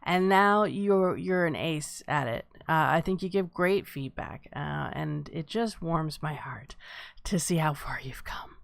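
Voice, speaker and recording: A woman's voice: low-pitched, unhurried, vocal fry, no echo. A woman hosting an informal live script table read, moderating feedback from the readers.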